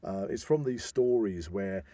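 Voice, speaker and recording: A man hosting an informal discussion, explaining with halting enthusiasm and emphatic stresses. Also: lowish voice, warm tone, no echo. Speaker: podcast host.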